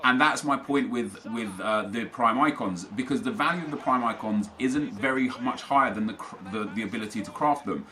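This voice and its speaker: A man's voice: medium pitch, fairly quick, few pauses, pushed and bright. A young man with a British accent, talking casually and argumentatively as a gaming video host, answering viewer comments and correcting himself mid-sentence. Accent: British English. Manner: rambling, argumentative, self-correcting.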